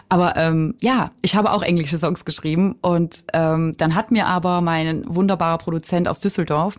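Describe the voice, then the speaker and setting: A woman with a fairly low voice speaks as a guest in a radio studio, chatty and earnest. She often restarts her sentences and fills pauses with little hesitations. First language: German. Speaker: singer-songwriter guest. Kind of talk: casual interview talk.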